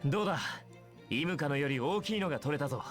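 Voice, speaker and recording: An actor plays a friendly, curious young man, a soldier in a video game. He speaks very rapidly and casually, his pitch moving in a lively way. Soft music sits behind the voice. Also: close to an everyday voice. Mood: playful, curious. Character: easygoing, curious, considerate young male soldier. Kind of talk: Japanese video game voice acting.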